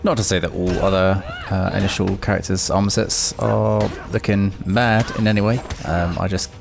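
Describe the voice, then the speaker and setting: Low-voiced man giving gaming commentary. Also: fairly even intonation, moderate pace. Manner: informal, chatty, rambling. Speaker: gaming video host. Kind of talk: casual gameplay commentary. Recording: noisy with clear background noise.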